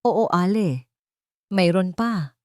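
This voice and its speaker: Woman voicing an earnest, worried man, lowish voice. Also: slow, no room sound, studio quality. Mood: grave, urgent. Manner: hesitant, grave, emphatic. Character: earnest, worried adult man confessing in a romance drama.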